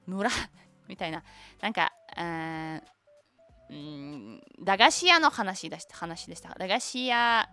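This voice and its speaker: A young woman whose first language is Tatar, chatting casually on a stream, halting and searching for words, with many fillers. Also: fairly low pitch, gravelly, sharp tone. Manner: switches between Japanese and English. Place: lives in Japan.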